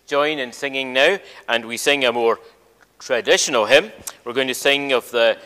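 A male minister addresses a church service in an informal, halting way, sometimes restarting his phrases. His mid-pitched voice carries a long reverb from the large hall. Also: bright, forceful tone, clear, resonant tone.

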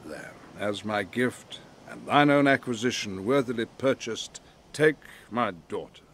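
A man's voice: lowish voice, unhurried, fairly bright tone. An actor playing a commanding older sorcerer and stern father in a Shakespearean audio play, declamatory and solemn. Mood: solemn, warning.

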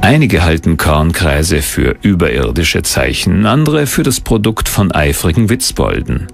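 Very deep, gravelly male documentary narrator. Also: very animated, unhurried, faint music behind.

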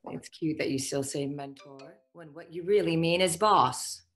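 A woman's voice: sits low, normal speed. A woman playing the imperious, condescending ghost of a socialite boss in a theatrical comic table read over video call. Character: haughty, foul-mouthed ghost of a dead socialite boss. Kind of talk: virtual table read, comic stage acting.

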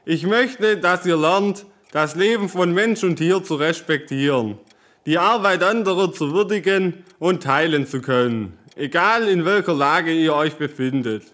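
A man playing an aging peasant father in a fairy-tale audio story, earnest, measured and caring. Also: on the high side, fairly bright tone. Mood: solemn, caring.

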